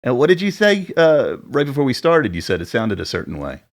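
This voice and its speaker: A man in his forties with a deep voice, co-hosting a podcast and chatting casually from his home setup, rambling a little. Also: wildly swooping pitch, brisk, vocal fry. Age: 40s. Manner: chatty, rambling, self-deprecating about sound gear. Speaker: podcast co-host. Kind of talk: casual chat. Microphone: studio dynamic mic at home.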